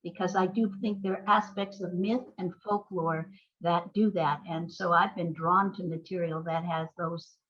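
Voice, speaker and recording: An older American woman speaking as an interview guest in a thoughtful, measured, articulate way. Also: on the low side, steady tone, fairly bright tone. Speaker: guest, playwright. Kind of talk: reflective interview conversation. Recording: dull, lo-fi sound.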